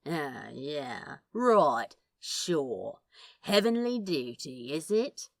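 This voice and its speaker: Woman voicing a low, drawling, sardonic male demon. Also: big pitch swings, extremely slow, dry recording, clean studio sound, exaggerated voice. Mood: sardonic teasing. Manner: drawling, leering, mock-seductive. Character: sardonic, teasing male demon, cocky to hide feeling. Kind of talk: fan fiction audiobook character voice.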